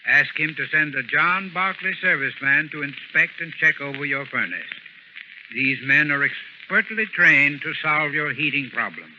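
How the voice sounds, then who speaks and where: A man delivers scripted, instructive advice in the style of an old radio advertisement. His voice sits a little high and formal. The recording is dull, with some noise. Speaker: sponsor's heating expert. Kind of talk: scripted 1930s-40s radio advertising talk.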